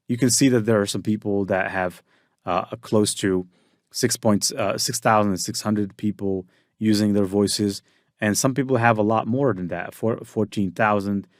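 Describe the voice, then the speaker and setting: A man giving a casual software tutorial, explaining step by step with frequent fillers and restarts. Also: lowish voice, no room sound, studio quality. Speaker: tutorial instructor.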